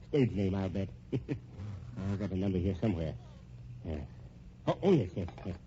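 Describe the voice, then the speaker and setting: Sly old husband, swooping voice. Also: male voice, low voice, expressive, measured pace, mellow. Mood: muttering, amused. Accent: American. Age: older. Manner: folksy, hesitant, rambling, sly. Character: vengeful, folksy old rich husband, slyly menacing. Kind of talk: vintage American radio drama acting. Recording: muffled, noisy old radio recording.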